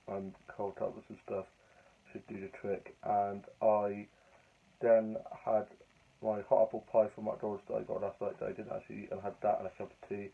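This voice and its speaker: A young man with a hoarse, croaky voice from a cold, chatting casually in a rambling, upbeat vlog. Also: lowish voice. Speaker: vlogger. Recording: tinny phone-like audio.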